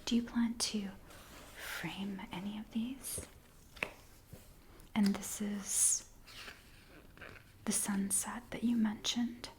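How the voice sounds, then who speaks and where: A woman doing a soft-spoken ASMR roleplay as a biographer, gently and attentively addressing the listener as her client. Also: mid-range voice, fairly even intonation, slow pace, pauses often, background noise.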